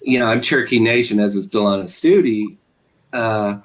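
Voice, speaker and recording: A man's voice: fairly low pitch, moderate pace. Hesitant American man, thoughtful interviewee. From Oklahoma, USA. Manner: hesitant, searching, trails off and repeats phrases. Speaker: interviewed novelist.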